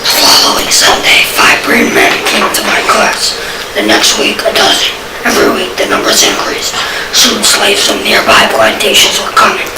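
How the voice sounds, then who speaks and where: Distant, echoey woman narrating haltingly. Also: mid-range voice, very animated. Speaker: student narrator. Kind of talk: scripted narration in amateur readers' theater. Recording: echoey room from far off, loud noise.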